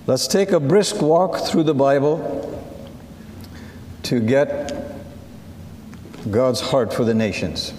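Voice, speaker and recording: A man whose first language is Assyrian preaches in an unhurried, deliberate sermon delivery. His voice is of middling pitch and rings through a hall with long reverb. Faint ambience sits underneath. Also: expressive, darkish tone, a little hiss or hum. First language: Assyrian (Syriac). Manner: measured, unhurried, invites the audience to read along.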